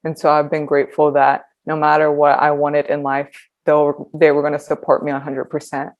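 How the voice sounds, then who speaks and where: A woman in her twenties, a podcast guest talking reflectively, pausing often with ums. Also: low voice, completely flat delivery, muffled recording. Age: 20s. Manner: reflective, hesitant with frequent ums. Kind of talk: conversational interview.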